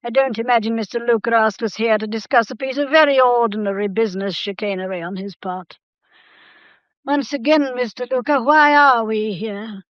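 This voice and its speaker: A woman's voice: fairly high pitch, moderate pace, dry recording, natural voice. An actress playing an imperious, composed old grandmother in a mystery radio drama, stately and commanding. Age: older. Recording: dull, muffled radio drama recording.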